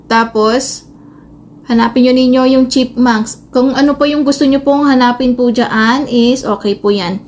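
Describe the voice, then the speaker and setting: A Filipino woman with a fairly high voice walks listeners through a phone tutorial. She explains casually and a little hesitantly, step by step. There is light noise in the amateur recording. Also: steady tone, unhurried, a little mellow. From Philippines. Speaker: tutorial host, vlogger.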